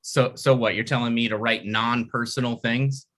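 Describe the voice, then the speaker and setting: A man's voice: medium pitch, steady tone, normal speed, fairly bright tone. A man reading the part of an awkward, struggling sitcom writer, stammering and deflated. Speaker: writer and actor in a table read. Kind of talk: comedy table read.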